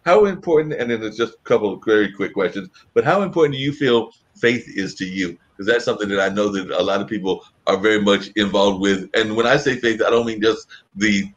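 A man with a fairly low voice hosts a relaxed interview. His questions wander through long, winding detours before they land. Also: brisk, few pauses, highs cut off. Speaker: interview host. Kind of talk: conversational interview.

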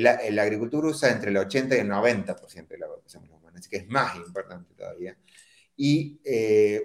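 Podcast guest: Córdoba-accented Argentine man, lowish voice, explanatory, echoey room. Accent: Córdoba Argentine Spanish. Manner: explanatory, checks in with frequent tag questions. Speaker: startup founder guest. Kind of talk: podcast interview.

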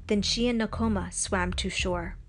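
A woman's voice: mid-range voice, steady tone, normal speed, slight background noise. Woman reading a children's storybook. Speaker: storybook reader. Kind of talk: scripted children's story narration.

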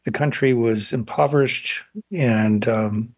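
A man's voice: medium pitch, takes it slowly, husky, dry recording. A male artist who grew up in South Korea, speaking thoughtfully in a radio interview and sometimes restarting sentences. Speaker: guest artist.

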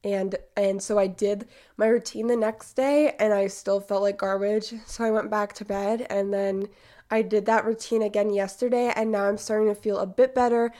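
A woman's voice: medium pitch, fairly even intonation, normal speed, dark tone. A woman aged eighteen hosting a podcast, congested from a cold, talking chattily with lots of fillers. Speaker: podcast host. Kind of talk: casual podcast monologue.

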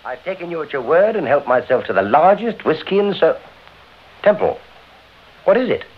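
An actor playing a genial, concerned, titled British gentleman with a husky voice in a vintage radio drama. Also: a little high, big pitch swings, normal speed. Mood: alarmed. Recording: dull old radio recording.

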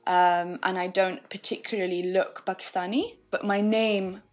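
Woman, young, podcast guest; thoughtful, unhurried, lots of ums and gentle laughs. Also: mid-range voice, steady tone. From England. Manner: thoughtful, frequent fillers and soft laughs. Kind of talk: casual reflective conversation.

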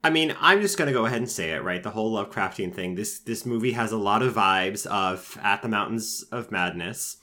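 A man with a lowish voice talks through his thoughts in a loose, casual podcast chat. He restarts his sentences often and drops in fillers as he picks at a film's pacing and characters. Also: brisk, few pauses, bright, forceful tone. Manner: conversational, frequent fillers and restarts, mock voices when quoting characters. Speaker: film reviewer.

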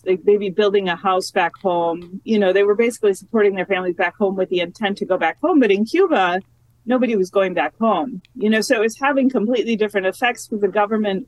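A woman geography professor talking as an interview guest, chatty and relaxed, with lots of fillers and restarts. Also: mid-range voice, quick pace, no room sound, poor audio. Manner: chatty, many fillers and restarts, laughs easily. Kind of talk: casual academic interview.

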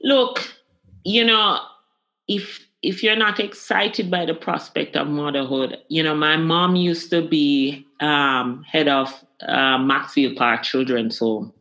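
Bright-voiced Jamaican woman, animated. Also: low voice, takes it slowly. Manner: animated, laughing often, emphatic repetitions. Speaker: guest. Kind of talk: candid interview conversation.

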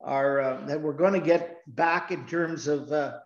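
A man leading a church service as pastor, talking in a slow, earnest way with frequent restarts. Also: on the high side, fairly even intonation. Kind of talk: sermon. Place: church sanctuary. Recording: roomy, dull church recording.

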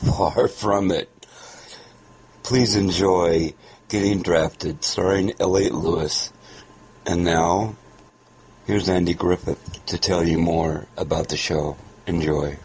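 A man's voice: sits low, fairly even intonation, a little mellow, breathy edge, low-quality recording. A man hosting a podcast, giving an informal, explanatory introduction to an old radio show. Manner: unhurried, explanatory.

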